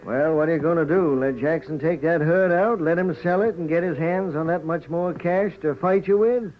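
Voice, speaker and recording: An actor playing an excitable, trigger-happy outlaw henchman with folksy American speech in a 1940s Western radio drama. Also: a little high, moderate pace, close to an everyday voice. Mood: needling. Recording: muffled, noisy old radio recording.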